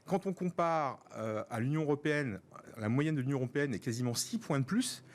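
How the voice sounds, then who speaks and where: Guest, man in his fifties, from France, measured and explanatory, mid-pitched voice. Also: animated. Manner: measured, explanatory, cites figures. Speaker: guest, network organizer. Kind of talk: radio interview answers.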